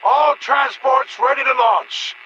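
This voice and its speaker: A man's voice: very high-pitched, slow pace, gravelly, highs cut off, background noise, full character voice. A man playing a determined, weary rebel major in a video game, giving urgent, clipped military briefings. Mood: urgent, resolute. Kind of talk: scripted game mission briefing.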